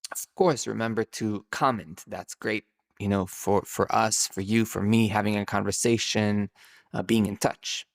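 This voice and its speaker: A man's voice: on the low side, steady tone, moderate pace, vocal fry. A man hosting his own podcast, thinking aloud off the cuff, drawing out key words and often restarting his sentences. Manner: rambling, musing, with many restarts. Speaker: podcast host, numerologist. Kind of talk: improvised solo podcast monologue.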